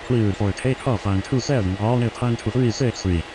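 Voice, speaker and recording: A man's voice: mid-range voice, normal speed, background noise, close to an everyday voice. A man voicing a businesslike airline pilot in a flight game, using scripted radio phraseology.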